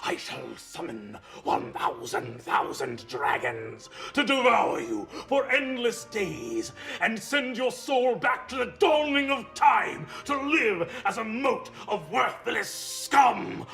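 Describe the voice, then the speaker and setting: A grandiose old wizard headmaster bellows doom and vengeance in a tabletop game, as a man acts him out. His voice leaps high and falls low with every threat. A soft music bed plays behind him. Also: creaky, roomy sound, exaggerated voice. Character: bombastic powerful old male wizard headmaster.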